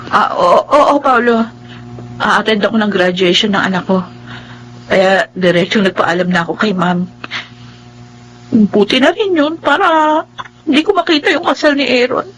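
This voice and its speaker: A woman's voice: mid-range voice, normal speed, pauses often, fairly bright tone, natural voice, rough recording. An actress playing a remorseful, earnest young single mother in a radio drama, with a Filipino accent. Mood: sad, resolute. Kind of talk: radio drama acting and narration.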